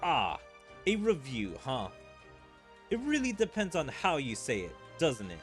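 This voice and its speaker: A man's voice: on the high side, wildly swooping pitch, unhurried, faint music behind. A man streaming a game, reading its dialogue aloud in a theatrical way and adding his own commentary. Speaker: gaming streamer.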